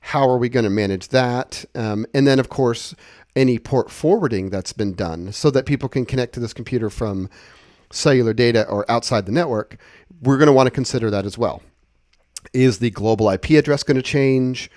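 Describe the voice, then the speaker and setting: A man giving a casual, conversational software walkthrough, explaining things step by step. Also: medium pitch, moderate pace, no echo, clean studio sound. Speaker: software tutorial presenter.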